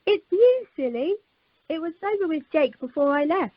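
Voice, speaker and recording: A woman's voice: very high-pitched, lively intonation, moderate pace, no echo. A woman playing an eager, coy and playful romantic woman in an amateur radio play over a phone line. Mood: playful, determined. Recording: muffled telephone conference line.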